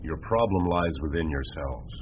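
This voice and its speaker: An actor playing a smooth, unruffled businessman in a radio drama, speaking formally and measuredly with pointed questions. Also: rumbling low, expressive, normal speed. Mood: cool, challenging. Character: smooth, unruffled businessman, challenging his accusers. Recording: muffled phone-like band, faint noise.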